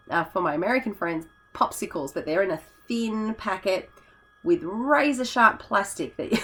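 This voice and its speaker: A hoarse woman, a teacher chatting casually in a vlog, rambling with fillers and self-corrections. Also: mid-range voice. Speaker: teacher and YouTuber.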